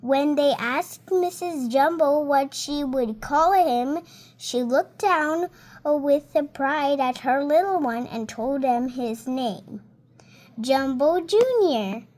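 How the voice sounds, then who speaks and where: Slow, high-pitched little girl reading aloud. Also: lively intonation, a little mellow. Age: child, about seven. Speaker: young reader.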